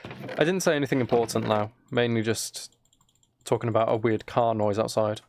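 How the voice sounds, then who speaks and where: A man streaming a game, chatting casually in a relaxed ASMR style, rambling along in a self-deprecating way. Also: lowish voice, moderate pace, a little hiss or hum. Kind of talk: casual livestream chat while gaming, relaxed ASMR.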